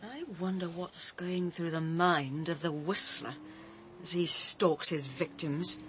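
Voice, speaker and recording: A woman's voice: fairly low pitch, steady tone, slow pace, a bit bright, a little hiss or hum. An actress in a radio drama playing an earnest, direct dinner guest who questions what she hears. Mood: sombre. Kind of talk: BBC radio drama dialogue.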